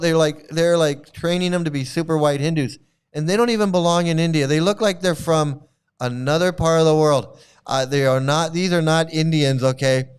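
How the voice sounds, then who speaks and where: Reaction host, male, somewhat high and smooth voice, laughs a lot and circles back on his own words. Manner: laughs often, repeats phrases, stammering restarts. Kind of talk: casual reaction commentary.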